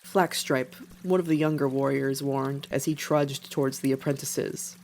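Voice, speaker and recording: A storyteller narrates scripted fiction in a voice of middle pitch. Faint noise runs behind the reading. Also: steady tone, normal speed, creaky, darkish tone.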